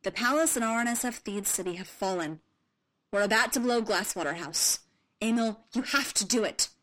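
A woman voicing a calm young female royal handmaiden who speaks in a measured way. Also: on the high side, natural voice.

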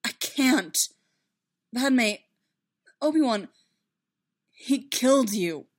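A woman voicing an anguished, self-doubting young male Jedi in a story, impassioned and halting. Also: mid-range voice, a bit bright. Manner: impassioned, halting, insistent repetition.

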